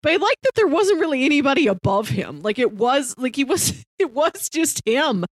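A woman's voice: sits high, very animated, normal speed, no echo. A woman podcast co-host in a casual film discussion, chatty and musing aloud. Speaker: podcast co-host reviewing films.

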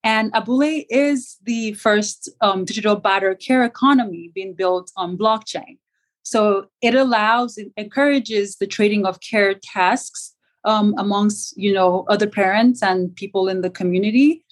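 A woman's voice: medium pitch, measured pace. A woman raised in Nigeria with Yoruba as her first language, a startup founder answering interview questions in a loose conversational way. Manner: conversational, many fillers and restarts.

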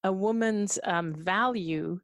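A woman from Hong Kong, a radio interview guest, telling her life story in a thoughtful way with frequent pauses and restarts. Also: medium pitch, dry recording, clean studio sound. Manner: thoughtful, many fillers and restarts. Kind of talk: conversational storytelling.

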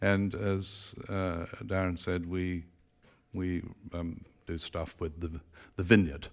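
A man preaching to a church congregation, mixing dry teasing humour with steady scripture reading. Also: low-pitched, slow. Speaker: guest preacher. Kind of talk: sermon. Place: church auditorium.

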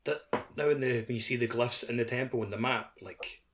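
A man's voice: mid-range voice, normal speed, noisy background. A man co-hosting a podcast, chatting casually about video games. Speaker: podcast co-host. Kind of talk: casual gaming podcast chat.

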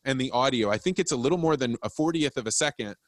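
A man's voice: mid-range voice, fast talker. A man hosting a podcast, talking casually and explaining things as if giving practical advice. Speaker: podcast host.

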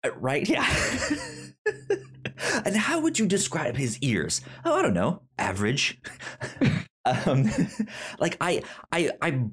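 A man co-hosting a podcast in casual comedic chat, telling stories in a rambling, animated way with stammered repeats and acted-out quotes. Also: on the high side, slow pace, long pauses, husky. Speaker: podcast co-host.

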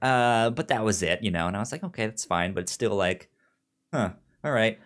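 A man hosting a podcast in casual, opinionated recap chat, animated, with a frequent stutter that repeats the first sounds of words. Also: on the low side, a little fast. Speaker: podcast host.

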